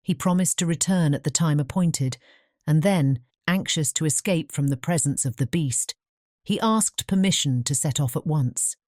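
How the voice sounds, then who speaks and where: A woman's voice: low-pitched, moderate pace, darkish tone, no room sound, clean studio sound. A woman narrating a fairy tale audiobook from a script.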